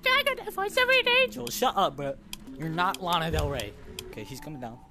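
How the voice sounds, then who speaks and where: A man hosting a VR horror let's play, excitable, yelping and shouting when scared. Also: on the high side, a little fast, very noisy. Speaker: gaming host. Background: game music.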